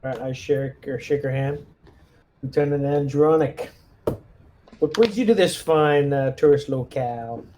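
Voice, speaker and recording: A man chatting casually as a tabletop game player, relaxed and a little halting as he plans his moves. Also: medium pitch, noisy background.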